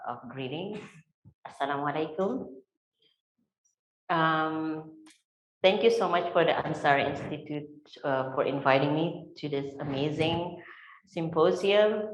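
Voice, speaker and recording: Indonesian-accented woman panelist, low voice, easygoing storytelling with fillers, roomy hall sound. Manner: unhurried, frequent fillers, warm laughs. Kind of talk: personal storytelling at a symposium. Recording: echoey lecture hall.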